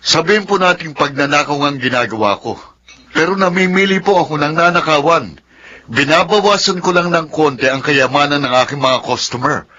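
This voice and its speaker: Through dull lo-fi radio drama audio comes a young Filipino swindler with a bright, forceful voice. He is brash and defiant, always justifying himself. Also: on the high side, normal speed.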